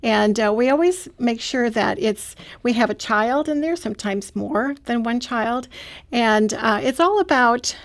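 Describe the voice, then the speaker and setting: A woman's voice: on the high side, animated, measured pace. A woman describing how her radio program is made, talking at length with frequent fillers. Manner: explains at length with many fillers. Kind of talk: radio interview.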